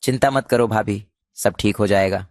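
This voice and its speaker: A man's voice: fairly low pitch, normal speed, darkish tone, no echo, studio quality, lightly acted. A man voicing a blunt, headstrong younger brother in a cartoon, an uncle who turns loving. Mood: warm. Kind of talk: cartoon voice acting.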